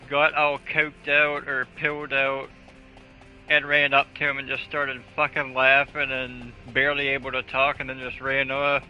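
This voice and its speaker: A man chatting casually on a gaming live stream in a crude, profane, joking way. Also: mid-range voice. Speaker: video game live streamer. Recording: dull lo-fi audio, faint music.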